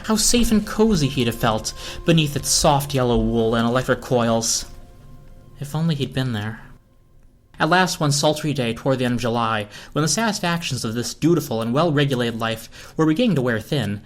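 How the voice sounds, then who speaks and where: A man's voice: medium pitch, animated, normal speed, faint music behind. A man reading a scripted story aloud as narrator. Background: orchestral film score.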